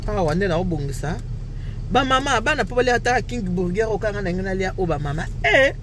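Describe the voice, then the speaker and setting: A woman's voice: medium pitch, animated, brisk, a bit bright. A Congolese woman whose first language is Lingala, chatting playfully and laughing as she orders food at a drive-through from her car. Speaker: vlogger. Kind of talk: casual vlog chat. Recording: car and traffic noise.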